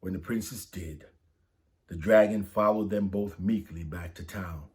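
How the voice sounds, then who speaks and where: A man's voice: sits low, unhurried. A man reading a saint's story aloud for children, lifting his voice for the quoted lines. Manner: lively, lifts voice for dialogue. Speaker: storybook reader.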